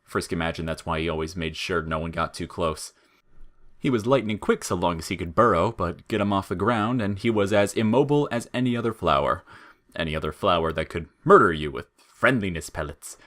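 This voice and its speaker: A man narrating scripted audio fiction in a measured storytelling manner. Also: low-pitched, animated, a bit bright.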